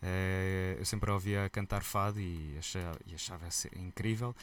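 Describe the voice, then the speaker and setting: A man with a European Portuguese accent, a musician guest telling stories in a relaxed, colloquial interview chat. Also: sits low, moderate pace. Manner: lively storyteller, relaxed and colloquial.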